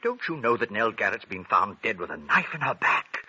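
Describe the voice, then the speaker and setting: Ardent leading man, wildly swooping pitch, very sharp tone, melodramatic, noisy old radio drama. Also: sing-song, a little fast, slightly rough, full character voice. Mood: urgent alarm. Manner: urgent, melodramatic, swooping emphasis. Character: great stage actor, smitten, anxious and ardent suitor. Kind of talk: 1940s radio acting. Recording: noisy vintage 1940s radio broadcast.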